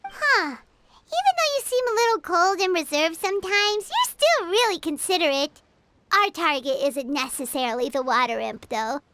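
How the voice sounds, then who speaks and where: A woman voicing a tiny, excitable, chatty fairy girl with a squeaky childlike voice in a video game cutscene. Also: moderate pace, background noise. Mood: puzzled, chatty. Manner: excitable, exclaiming, speaks of herself in third person.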